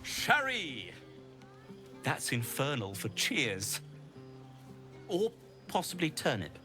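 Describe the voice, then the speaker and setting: A merry, tipsy reveler in a fantasy game. His exuberant voice is bright and slow, with wildly varied pitch, over faint music. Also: huge pitch swings, exaggerated voice. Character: merry, tipsy male reveler making a toast. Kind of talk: video game character dialogue.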